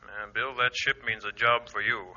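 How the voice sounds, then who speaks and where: A man's voice: medium pitch, little pitch movement, normal speed, bright, forceful tone, lo-fi sound. A man playing an authoritative, fatherly colonel giving orders in an old-time radio drama. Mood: commanding, solemn. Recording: band-limited old radio recording.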